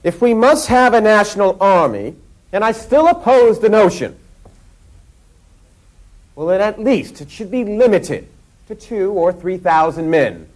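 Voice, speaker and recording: An actor playing a combative eighteenth-century delegate, declaiming rhetorical challenges in a period drama. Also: male voice, high voice, expressive, measured pace, pauses often, darkish tone, poor audio. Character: fiery 18th-century delegate hostile to standing armies. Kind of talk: period stage-like debate acting.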